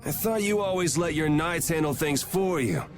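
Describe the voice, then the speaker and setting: A man's voice: fairly high pitch, normal speed, close to an everyday voice. An actor playing a cocky young swordsman who talks bluntly and wryly. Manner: blunt, wry, lively. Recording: game audio with music.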